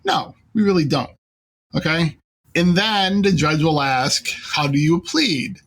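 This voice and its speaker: A man's voice: fairly high pitch, unhurried, fairly bright tone. A man hosting a panel talk, explaining things in an informal, conversational way. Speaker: podcast host and immigration lawyer.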